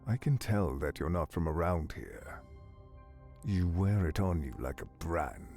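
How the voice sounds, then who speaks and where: Man playing a low, icy English outlaw. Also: animated, darkish tone, faint music behind. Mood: stern warning. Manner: slow, terse, stern. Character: icy, menacing male outlaw gunman in a Western. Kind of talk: visual novel voice acting.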